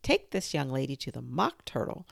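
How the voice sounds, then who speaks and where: A bad-tempered queen who barks every order loudly, as a woman acts her out. The voice is commanding and a little gravelly, recorded close and dry. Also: rumbling low, big pitch swings, normal speed, no room sound, exaggerated voice. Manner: loud, commanding. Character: bossy shouting Queen, woman.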